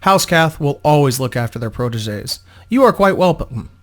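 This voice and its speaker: A man's voice: on the high side, lively intonation, moderate pace, natural voice. A man voicing a female bodyguard and adventurer who is courteous, practical and protective, in a storybook reading. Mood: courteous, businesslike.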